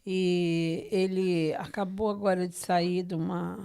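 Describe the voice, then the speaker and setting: A woman's voice: on the low side, little pitch movement, slow, gravelly, dark tone, smooth. A woman with a Brazilian accent hosting a podcast from her living room, talking conversationally with devout enthusiasm and frequent tag questions. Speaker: podcast host. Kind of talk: conversational podcast introduction of a guest.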